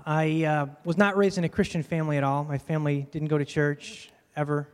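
Soft-spoken man in a reverberant hall. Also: a little high, little pitch movement, fairly quick, clean tone. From Michigan, USA. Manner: soft-spoken, mild and rambling. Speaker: pastoral candidate. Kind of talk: casual personal testimony. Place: church hall.

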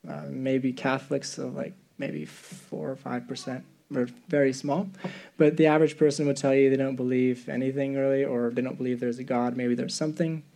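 A man from the Czech Republic speaking as a missionary preacher, giving an earnest, halting talk to a congregation and often rephrasing himself. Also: mid-range voice, vocal fry, warm tone. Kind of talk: sermon and missions presentation to a congregation.

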